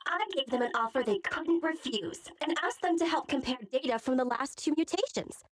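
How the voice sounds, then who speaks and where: A sly, self-assured young boss lady from an anime-style game. Her voice is high and dry, breezy and boastful, with a bossy edge. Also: animated, a little fast, dry recording. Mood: smug, confident.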